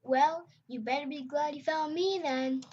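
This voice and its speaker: A woman voicing a loud, pushy young male rainwing dragon that growls, in a home-read storybook. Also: high voice, moderate pace, breathy edge, voice pushed up. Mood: gruff. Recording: dull lo-fi recording.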